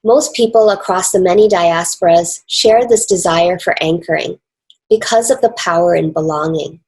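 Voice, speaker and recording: A woman with a North American accent reads a scripted academic talk in a formal, measured and deliberate way. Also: fairly low pitch, darkish tone, low-quality recording. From Canada and the United States. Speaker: academic speaker, author and dance researcher.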